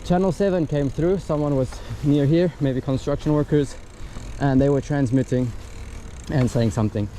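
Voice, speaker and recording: Clear outdoor noise sits behind a man with a slightly high-pitched voice. He talks casually, like a reviewer walking through a product's features step by step. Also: moderate pace. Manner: explanatory, step by step. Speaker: gadget reviewer. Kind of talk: casual hands-on product demonstration. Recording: loud wind and outdoor ambient noise.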